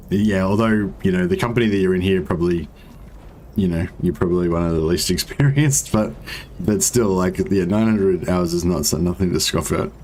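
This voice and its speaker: A man with a deep voice giving casual, rambling commentary while playing a strategy game. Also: a little hiss or hum. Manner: rambling, relaxed, many fillers. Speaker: gaming streamer. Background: faint game music.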